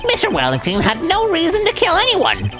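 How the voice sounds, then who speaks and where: A woman's voice: very high voice, huge pitch swings, a little fast, over music, voice pushed up, heavily acted. A woman voicing an indignant, flustered male prosecutor in a courtroom game, protesting in an exaggerated style. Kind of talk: exaggerated video game voice acting.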